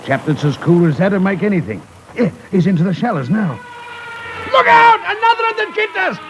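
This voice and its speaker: An actor playing a cheery, hopeful British soldier in a 1950s radio war drama. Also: male voice, high voice, very animated, moderate pace, creaky, full character voice. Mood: excited alarm. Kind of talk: 1950s radio play acting. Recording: noisy, muffled vintage radio recording.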